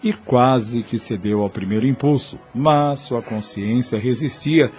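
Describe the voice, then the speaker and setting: A man's voice: mid-range voice, a little slow, a little mellow, faint music behind. A Brazilian man narrating an audio drama in formal, literary storytelling language.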